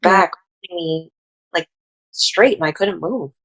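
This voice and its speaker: A woman with a low, gravelly, somewhat husky voice, chatting loosely as a healing practitioner. She rambles and trails off into asides. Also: a little slow, a bit bright. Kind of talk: casual chat.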